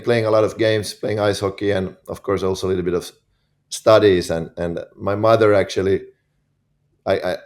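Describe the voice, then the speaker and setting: A man in his forties with a Finnish accent, a podcast guest who talks in an easy, relaxed way and often repeats words while he gets going. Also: low voice. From Finland. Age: 40s. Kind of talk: casual interview talk.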